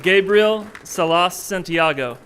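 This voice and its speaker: A man's voice: sits high, fairly bright tone, some chatter behind, slight background noise. A man reading out a list of names at a ceremony, one at a time. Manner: slow, deliberate name announcing. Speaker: ceremony name reader.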